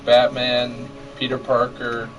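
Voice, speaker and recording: A man's voice: mid-range voice, monotone, takes it slowly, a bit bright, recorded from a distance, a little hiss or hum, low-quality recording. A man with an American accent co-hosting a gaming livestream in casual, joking chat with a friend. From Michigan, United States. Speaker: game livestream co-host. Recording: clear music playing behind voice.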